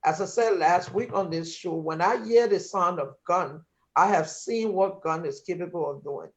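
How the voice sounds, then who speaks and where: A man's voice: sits high, normal speed. A man from Liberia with a North American accent, speaking earnestly and emphatically as a panelist in a discussion. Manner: earnest, emphatic, some restarts. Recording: dull lo-fi sound.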